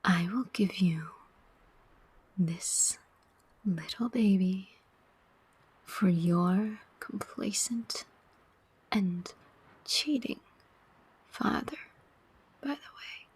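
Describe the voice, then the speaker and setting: A woman playing a persuasive, sardonic demon who collects souls, in a whispered ASMR roleplay with deliberate, coaxing phrasing. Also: mid-range voice, a little mellow, husky, no room sound, heavily acted, poor audio. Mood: coaxing, bargaining. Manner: slow, deliberate, coaxing whisper with pointed questions.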